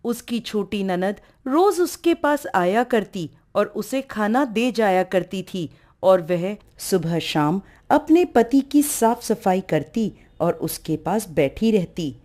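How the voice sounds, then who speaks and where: Female folk-tale narrator, soft-toned and unhurried, for devotional storytelling. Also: medium pitch, lively intonation.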